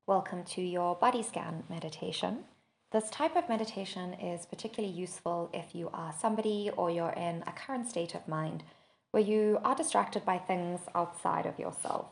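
A woman leading a guided body scan meditation, giving gentle, measured instructions with long pauses between phrases. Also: lowish voice, creaky. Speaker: podcast host and meditation teacher.

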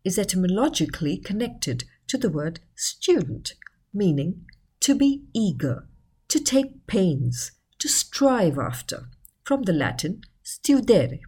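A woman reading a scripted educational article in a measured, explanatory manner. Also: mid-range voice, sing-song, warm tone, breathy edge. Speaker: article narrator.

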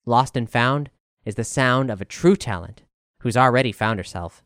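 A man's voice: medium pitch, normal speed, fairly bright tone, clear, resonant tone, no echo, clean studio sound. A man reading a scripted album review as narration.

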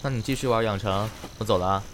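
A man's voice: on the low side, fast, background noise, close to an everyday voice. An actor playing a teasing, easygoing young male heartthrob in a Chinese audio drama. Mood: dry teasing.

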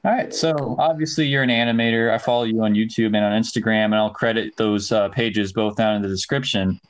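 A man's voice: fairly low pitch, fairly even intonation, brisk. A young man from Ohio hosting a casual podcast, easygoing and using slang. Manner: laid-back, casual slang. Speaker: podcast host. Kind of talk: casual chat.

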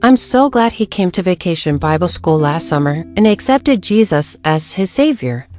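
Young woman putting on lower motherly voice, gentle, slow, soothing. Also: sing-song, soft background music. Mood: comforting. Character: gentle devout mother, calm and comforting.

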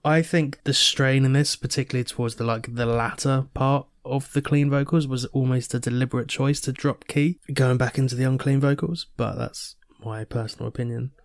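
A man's voice: medium pitch, steady tone, normal speed, few pauses, no room sound, studio quality. A man co-hosting a podcast, talking hesitantly with fillers about guitar and vocal details. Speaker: podcast co-host. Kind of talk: casual music review chat.

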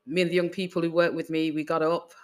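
An older woman from England with a Manchester accent, a guest in a casual interview, telling her story with many "you know"s and pauses. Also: deep voice, level tone. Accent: Mancunian English. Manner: reflective, warm storytelling with frequent "you know" and "um".

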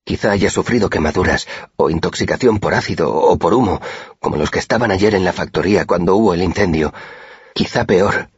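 A man's voice: sits low, fairly quick, breathy edge, no echo, voice pushed up. A man voicing an earnest, polite and anxious young male student in audiobook dialogue, with dull, muffled sound. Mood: anxious, urgent.

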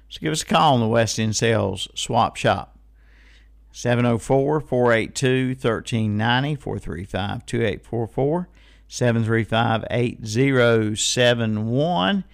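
A man's voice: medium pitch, vocal fry. A man hosting a casual live radio call-in show, folksy and given to repeating phrases and numbers.

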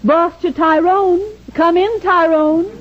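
Unhurried, high-voiced lady plumber. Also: fairly even intonation, some chatter behind. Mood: businesslike. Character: self-assured, chatty lady plumber, the boss. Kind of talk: 1940s radio comedy acting. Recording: muffled, noisy old radio recording.

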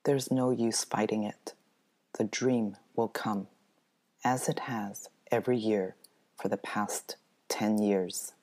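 Woman reading a creepy first person story, really low voice. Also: fairly even intonation, slow pace, darkish tone. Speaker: story reader.

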